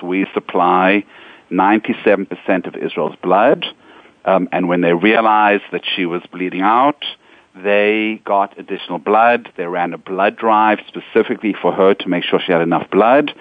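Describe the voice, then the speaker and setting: Very deep-voiced middle-aged man with a South African accent who speaks earnestly and measuredly. His voice comes over a narrow line that sounds like a telephone, dry and close. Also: no room sound. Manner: earnest, measured, frequent ums. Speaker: nonprofit executive, guest. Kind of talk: interview answers, personal story.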